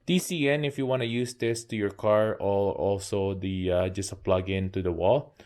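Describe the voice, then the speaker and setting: Low-voiced man doing a casual product demo. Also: steady tone, normal speed, darkish tone. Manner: points things out piece by piece in short phrases. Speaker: product reviewer. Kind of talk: casual unboxing and hands-on demo narration.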